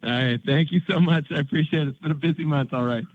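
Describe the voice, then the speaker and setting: A man's voice: a little high, fast talker, no echo, narrow, tinny audio. A man, a financial analyst, asking questions in a casual, upbeat, rambling way. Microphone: phone.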